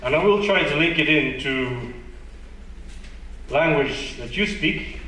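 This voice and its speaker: A man's voice: fairly high pitch, animated, slow pace, sharp tone. Malawian guy giving a conference talk, sounds far from the mic, big echoey hall, light background noise. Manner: conversational, self-deprecating, asks the audience questions. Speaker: conference presenter. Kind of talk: conference presentation.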